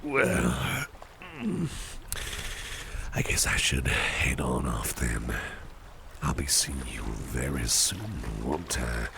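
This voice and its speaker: A man playing a suave, doting gentleman businessman boss in an ASMR roleplay, soft-spoken, courteous and unhurried. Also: big pitch swings, full character voice. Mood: reassuring, generous. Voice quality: very deep, gravelly, breathy at times. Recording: clear background ambience noise.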